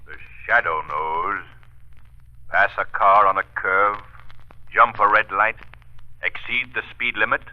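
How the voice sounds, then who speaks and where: An actor playing a stern, portentous, shadowy avenger warning wrongdoers in old radio style. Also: male voice, on the low side, steady tone, slow pace, long pauses. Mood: stern, ominous. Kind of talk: 1930s radio drama acting. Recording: dull vintage radio recording.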